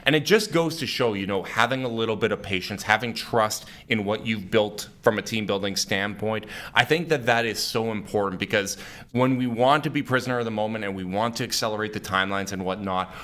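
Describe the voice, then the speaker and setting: A man hosting a sports podcast, his voice a bit raspy and hoarse from cheering, breaking down a game with emphatic, casual analysis. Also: on the low side, brisk. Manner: energetic, emphatic basketball analysis. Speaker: podcast host.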